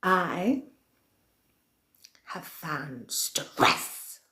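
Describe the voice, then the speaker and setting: A woman acts out an ancient, villainous queen of darkness who addresses her followers in a slow, theatrical murmur. Her pitch swoops widely from phrase to phrase, often sinking into a breathy whisper. The voice carries a roomy echo. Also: animated, sharp tone, full character voice. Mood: sinister, theatrical. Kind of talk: in-character chat with viewers.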